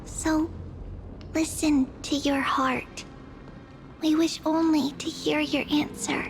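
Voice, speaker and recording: Serene game spirit. Ceremonious, unhurried blessing tone, faint ambient noise behind. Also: female voice, sits high, flat delivery, rough recording. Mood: solemn, encouraging. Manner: solemn, gentle, ceremonious. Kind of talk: English video game voice acting.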